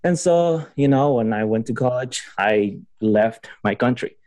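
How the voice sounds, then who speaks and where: A man's voice: medium pitch, expressive, measured pace, darkish tone, no room sound. A young man from Honduras being interviewed on a podcast, chatting casually with lots of fillers and restarts. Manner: rambling, many fillers and restarts, laughs often. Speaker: podcast guest, PhD student.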